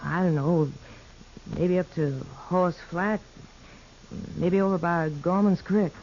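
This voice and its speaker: A woman's voice: fairly low pitch, takes it slowly, darkish tone. A woman playing an earnest, worried young ranch sister in a 1950s radio western, with a rural American accent. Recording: dull, noisy 1950s radio recording.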